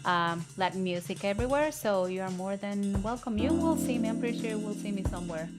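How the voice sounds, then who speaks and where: A middle-aged woman from El Salvador, a singer-songwriter talking earnestly in a casual conversation, with many halting fillers. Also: medium pitch, lively intonation, loud music behind the voice.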